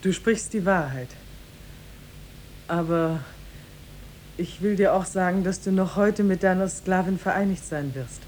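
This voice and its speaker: An actress playing a young woman who rules disguised as a king, formal and measured, in a 1950s German radio play. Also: darkish tone, natural voice. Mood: regal, commanding. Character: young woman ruling disguised as a king, regal, secretly tender. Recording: 1950s radio recording with faint rumble.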